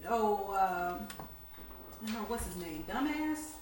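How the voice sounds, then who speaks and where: A woman's voice: mid-range voice, animated, slow, recorded from a distance. Sarcastic woman in a very echoey room. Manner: sarcastic, irreverent. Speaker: player in a tabletop role-playing game. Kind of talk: casual in-character banter. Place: indoor room, home game session. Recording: very echoey room, clear background noise. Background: noise, air conditioner hum.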